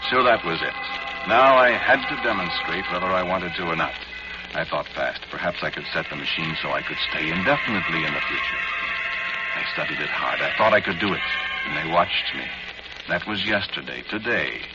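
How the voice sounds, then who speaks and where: A man acting a doomed inventor in an old radio horror drama, speaking to the listener in an intimate, pleading, halting way. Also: sits low, close to an everyday voice. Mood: brooding, confiding. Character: doomed male inventor of a time machine, haunted, pleading. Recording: muffled old radio recording with music.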